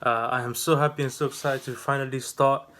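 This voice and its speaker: A man's voice: mid-range voice, flat delivery, brisk, a bit bright. A man in his twenties from the Netherlands, talking off the cuff in a casual vlog, with lots of fillers and restarts. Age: 20s. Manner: rambling, many fillers and restarts. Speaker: vlogger and new business owner.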